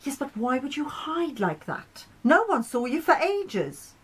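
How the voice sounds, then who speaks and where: A woman voicing a resentful, jealous male planet in a storybook, insistent and quick to defend himself. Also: high voice, very animated, voice pushed up.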